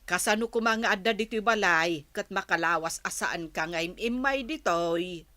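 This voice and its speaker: A woman's voice: fairly low pitch, normal speed, pushed and bright. A woman with an Ilocano accent playing an exasperated, scolding member of a household in an audio drama.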